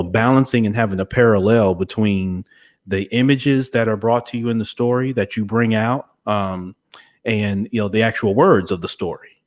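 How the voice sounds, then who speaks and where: A man with a fairly low voice hosts a podcast interview. He talks in a conversational, wordy way, feeling his way toward each question and rephrasing as he goes. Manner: hesitant, wordy, circling back to rephrase.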